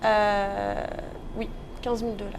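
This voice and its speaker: A woman's voice: mid-range voice, flat delivery, slow, gravelly, slight background noise. A nineteen-year-old woman from the Paris region, giving casual interview answers. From Paris region, France. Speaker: interview guest, professional tennis player.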